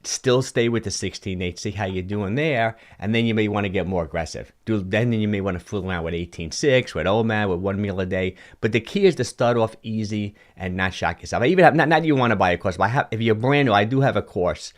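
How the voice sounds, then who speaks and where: A man in his sixties hosting a fitness livestream, talking casually and answering viewer comments, animated and prone to quick restarts. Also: lowish voice. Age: 60s. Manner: animated, talks with his hands, frequent restarts and repeats. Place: indoor home studio with colored lights. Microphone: large studio mic on a boom in front of him.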